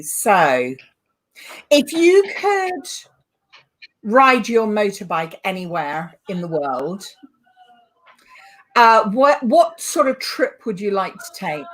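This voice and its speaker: A woman with a British accent speaks as a guest on a podcast. Her pitch is medium. She chats casually, pausing to think and sometimes hesitating over her words. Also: big pitch swings, fairly bright tone. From born in Borneo, moved to the UK. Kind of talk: casual chat.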